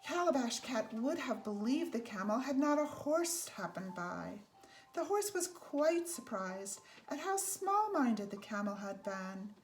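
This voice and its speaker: Higher-voiced woman reading a children's storybook. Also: sing-song, a little slow. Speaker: storybook reader. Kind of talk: read-aloud children's picture book narration. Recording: faint background noise.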